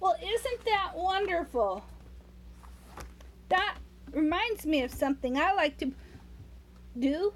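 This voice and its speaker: A woman reading a storybook aloud to young children, expressive and sing-song, adding playful asides straight to the listener. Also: extremely high pitch, slow pace, long pauses, slight background noise.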